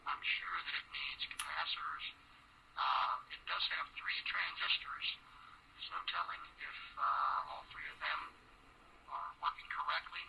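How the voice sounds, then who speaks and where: A man's voice: low-pitched, big pitch swings, measured pace, creaky, pushed and bright, slightly rough. A man casually explaining a demonstration, heard played back from an old dictation cassette recorder. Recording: noisy, crackly vintage tape recorder playback.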